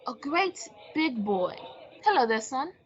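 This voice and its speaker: Jolly department-store Christmas character, extremely high voice, wildly animated sing-song gushing for kids, radio play. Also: female voice, measured pace, dull audio. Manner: exclaiming, sing-song, repeats children's answers. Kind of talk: old-time radio drama acting.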